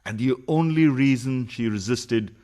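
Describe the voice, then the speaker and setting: A man acting an impatient philandering husband in a radio farce, cajoling like a smooth talker. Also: medium pitch, unhurried, dark tone, natural voice. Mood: dismissive. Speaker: radio play actor. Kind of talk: farcical radio play acting.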